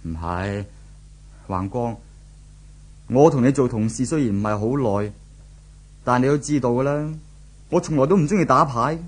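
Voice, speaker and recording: A male actor plays an anguished young man in an old Hong Kong radio serial. His voice is husky and medium in pitch, with a Hong Kong Cantonese accent. He speaks with the brooding, theatrical weight of a lovesick boyfriend. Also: normal speed, long pauses, close to an everyday voice. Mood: gloomy, troubled. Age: young adult. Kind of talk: 1970s radio drama acting.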